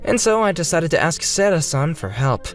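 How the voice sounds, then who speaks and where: Manga dub narrator, male, animated delivery over a music bed. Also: fairly high pitch, moderate pace.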